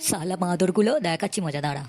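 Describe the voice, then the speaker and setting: A woman's voice: on the low side, rapid-fire, darkish tone, slight background noise, lightly acted. A woman voicing a suspicious, threatening grown man, an angry tree owner in a comedy cartoon.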